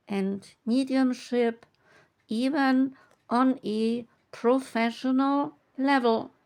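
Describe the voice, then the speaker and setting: A woman reading oracle card meanings aloud in a slow, measured, soothing way. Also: a little high, clear, resonant tone. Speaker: tarot and oracle card reader.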